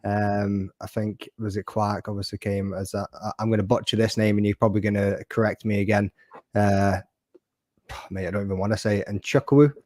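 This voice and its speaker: A man's voice: fairly low pitch, flat delivery, normal speed, a little mellow, no echo. A man with a Scottish accent giving casual fight picks, self-mocking and swearing lightly. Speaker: panelist. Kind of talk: casual betting picks chat.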